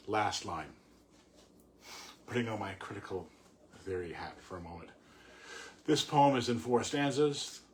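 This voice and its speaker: Male poetry reader, unhurried and thoughtful, slightly low register, explanatory and halting, some noise on the recording. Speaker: poet reading and commenting on a poem. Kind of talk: poetry reading with informal commentary. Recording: clearly audible background noise.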